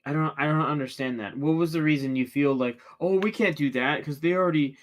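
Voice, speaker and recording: A man's voice: a little high, a little fast. A man chatting casually during a watchalong stream, rambling with many restarts and fillers. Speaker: watchalong co-host. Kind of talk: casual reaction chat.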